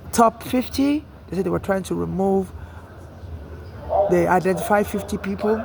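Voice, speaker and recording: A Nigerian man with a high, heavily gravelly voice talks on and on, restarting his sentences and tossing in "you know" again and again. A crowd murmurs clearly behind him. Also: low-quality recording. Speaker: interviewee. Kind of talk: street interview, personal account.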